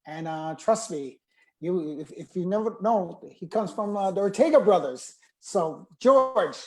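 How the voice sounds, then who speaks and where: A man's voice: sits high, lively intonation, a little slow. A man hosting a casual interview show, rambling a little and rephrasing his questions as he goes.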